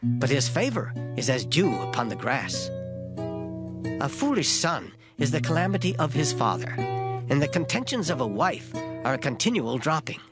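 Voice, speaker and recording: A man reads scripture as a dramatic performance, solemn and declamatory. His intonation rises and falls expressively, and plucked string music plays clearly behind him. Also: mid-range voice, sing-song, normal speed. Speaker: scripture reader. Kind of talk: dramatized Bible reading.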